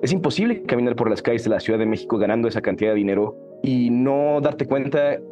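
Candid Mexican man, animated. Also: medium pitch, fast, muffled recording, soft background music. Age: 30s. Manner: candid, animated, frequent tag questions. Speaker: interview guest, writer. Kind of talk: reflective conversational interview.

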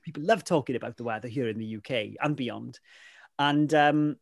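Welsh man, native welsh speaker, mid-pitched voice, dry close mic, friendly rambling guest. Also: normal speed, vocal fry, no room sound. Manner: rambling, frequent "you know" fillers. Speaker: interview guest, weather presenter. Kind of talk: casual conversational interview.

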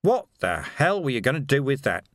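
A man voicing an exhausted, gruff male police commander who speaks in clipped, urgent commands. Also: mid-range voice, very animated, moderate pace, a bit bright, no echo, clean studio sound.

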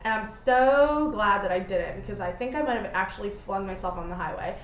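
A woman's voice: mid-range voice, brisk, a little hiss or hum. Chatty self-deprecating woman in an echoey room. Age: 20s. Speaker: podcast host. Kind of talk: casual solo podcast chat.

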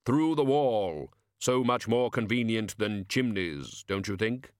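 A man voicing a solemn otherworldly apparition in an audiobook, speaking slowly, deliberately and politely. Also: on the low side, lively intonation, clean tone, no room sound, studio quality. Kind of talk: audiobook character voice.

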